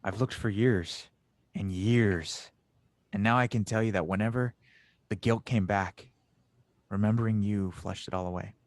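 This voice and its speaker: A man acts as a teasing, good-hearted inventor in an amateur space audio drama. His voice sits on the low side, with an earnest, playful delivery. The sound is dry, with little room to it. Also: moderate pace, long pauses, dry recording, close to an everyday voice. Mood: earnest, tender. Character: warm, teasing male inventor, reunited with an old friend. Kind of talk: fan audio drama table read.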